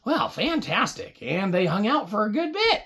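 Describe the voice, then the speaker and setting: A woman's voice: medium pitch, sing-song, moderate pace, a bit bright, slightly rough. A woman improvising a comic children's puppet-show story, rambling along with wry asides.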